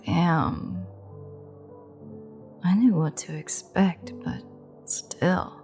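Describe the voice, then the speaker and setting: A woman acts out an amused, predatory female vampire in an audio drama. She taunts in a lowish, gravelly voice, taking her time and slipping into breathy half-whispers. Her manner is sultry and threatening. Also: extremely slow, warm tone, soft background music, exaggerated voice. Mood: gloating, hungry. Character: menacing, amused female vampire gloating over a captured hunter. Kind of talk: audio roleplay acting.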